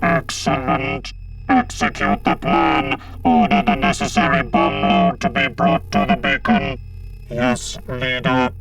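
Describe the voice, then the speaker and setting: A man's voice: medium pitch, slow pace, gravelly, loud music behind the voice. A man voicing a cold, emotionless cyborg leader in an audiobook, with a clipped, commanding and menacing delivery. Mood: cold, gloating. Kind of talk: dramatised audiobook reading.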